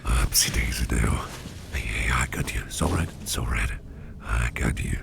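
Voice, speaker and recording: Guy doing a super deep gravelly caring boss asmr thing, breathy whisper, soothing and protective. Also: normal speed, background noise, full character voice. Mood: soothing, concerned. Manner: soothing, hesitant, repeating reassurances. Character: caring, protective male boss, gentlemanly businessman, soft-spoken and doting. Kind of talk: ASMR roleplay, intimate personal attention.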